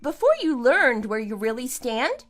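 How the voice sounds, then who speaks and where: A woman's voice: on the high side, very animated, moderate pace, a bit bright, faint music behind. A woman playing a scornful, triumphant wife in an audio drama, with a sarcastic, emphatic delivery. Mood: exasperated, dismissive. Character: wronged wife, scornful and triumphant, kicking out cheating husband. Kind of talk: amateur audio drama acting.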